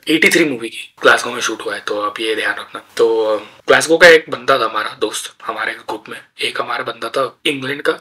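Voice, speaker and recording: A young man from India telling stories about his student days abroad in a chatty, opinionated way, with many filler pauses. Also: mid-range voice, bright, forceful tone, slightly rough, rough recording. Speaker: podcast host. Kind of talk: casual storytelling monologue.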